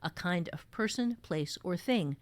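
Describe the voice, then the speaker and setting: A woman teaching a workshop in a conversational, enthusiastic way, explaining step by step with emphatic, expressive delivery. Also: fairly low pitch, a little slow, no echo. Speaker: writing teacher and workshop host.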